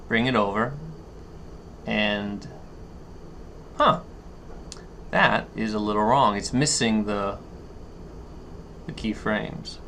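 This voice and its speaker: Creaky-voiced man giving a screen tutorial. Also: lowish voice, fairly bright tone. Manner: thinks aloud, halting, step-by-step. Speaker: tutorial instructor. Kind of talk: unscripted screen-share software walkthrough. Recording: noticeable background noise.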